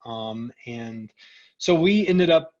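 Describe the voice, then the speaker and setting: A man's voice: medium pitch, lively intonation, takes it slowly, darkish tone, highs cut off. A middle-aged man being interviewed as a company executive, chatting casually and often checking in with the listener. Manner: conversational, peppers talk with "you know" and "right?".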